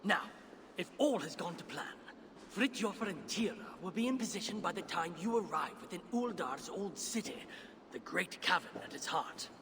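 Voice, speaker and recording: Old dwarf craftsman, earnest, anxious, formal, bright high voice, faint ambient noise, video game drama. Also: expressive, moderate pace. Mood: businesslike. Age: older. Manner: deferential, nervous, formal. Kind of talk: video game cutscene acting.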